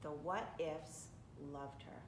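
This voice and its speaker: A woman reading a children's story aloud expressively, with deliberate, dramatic phrasing. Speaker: storybook reader. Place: indoors, library. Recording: echoey room with faint rumbling noise.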